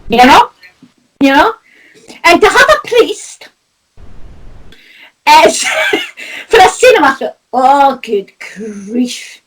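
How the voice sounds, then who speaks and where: Lively high-voiced Scottish woman. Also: slow pace, pauses often, distant mic, low-quality recording. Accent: Scottish (Doric). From Scotland. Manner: chatty, laughing, tells anecdotes with lots of "you know". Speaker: spiritual medium and host. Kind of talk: casual chat about spirituality.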